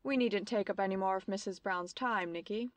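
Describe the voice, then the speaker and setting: A woman's voice: medium pitch, brisk, no echo, natural voice. A woman acting in old-time radio style as a determined young detective, polite, formal and precise. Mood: polite, composed. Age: young adult.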